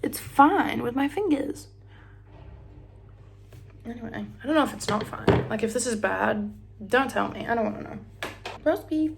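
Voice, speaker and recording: Chatty Australian woman with a gravelly edge. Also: a little high, animated, moderate pace, pauses often, heavy background noise. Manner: chatty, rambling, sings snatches of songs, drops into whispers. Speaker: beauty vlogger. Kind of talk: casual get-ready-with-me chat.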